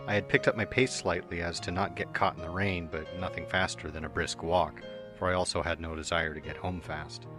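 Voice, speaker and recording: Male narrator, deep voice, earnest first-person reading over faint music. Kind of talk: fan audiobook reading. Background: faint background music.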